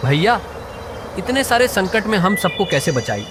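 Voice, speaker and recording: A man's voice: sits high, big pitch swings, moderate pace, voice pushed up. A man voicing eager, curious village children in a moral story. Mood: worried. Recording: clearly noisy background.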